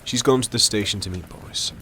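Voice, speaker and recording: An actor playing a worldly, unsentimental older doctor in an audio drama, measured and dryly sardonic. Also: male voice, fairly low pitch, sharp tone, a little hiss or hum. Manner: measured, dry, reasoning.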